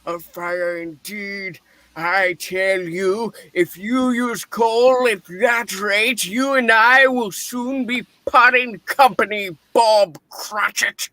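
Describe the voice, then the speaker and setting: A man performs a cantankerous old skinflint in a radio-play reading. He is scornful and barking, with a high, forceful voice delivered slowly and recorded very dry. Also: fairly even intonation, no echo, exaggerated voice. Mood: scolding, scornful.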